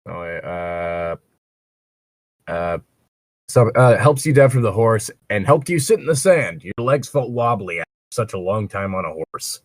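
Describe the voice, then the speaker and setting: A man's voice: fairly low pitch, lively intonation, a little slow, dry recording, studio quality. A man reading a story aloud and breaking off into wry, sweary, rambling casual commentary as co-host. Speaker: co-host of a fan fiction reading show.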